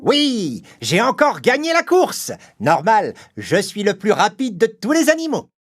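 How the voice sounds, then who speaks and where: A performer voicing a boastful, scornful, arrogant male hare in an animated children's story. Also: mid-range voice, measured pace, faint music behind. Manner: bragging, scornful.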